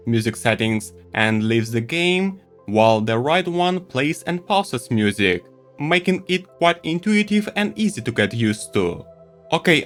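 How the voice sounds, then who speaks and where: Animated man reviewing gadgets over faint music. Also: medium pitch. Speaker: tech reviewer. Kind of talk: scripted product review narration.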